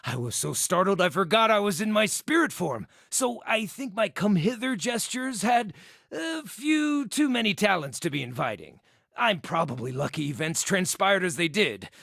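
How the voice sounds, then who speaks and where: A cheeky, scarred little druid outcast from a fantasy game. The voice sits fairly high for a male and swoops with lively intonation. The phrasing is wordy, wry and theatrical, recorded close and dry. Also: normal speed, gravelly, no echo, exaggerated voice. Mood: cheeky, bawdy. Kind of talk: video game character dialogue.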